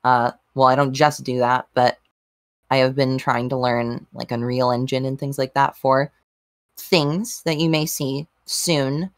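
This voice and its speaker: Very low-voiced woman, dry deadpan chat. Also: unhurried, dry recording. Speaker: podcast panelist. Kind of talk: casual group chat.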